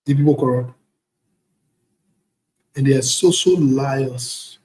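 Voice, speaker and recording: A man's voice: medium pitch, slow pace, mellow. Indignant West African man in an echoey room. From Ivory Coast. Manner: indignant, blunt, repeats phrases for emphasis. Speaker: online political commentator. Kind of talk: unscripted political rant.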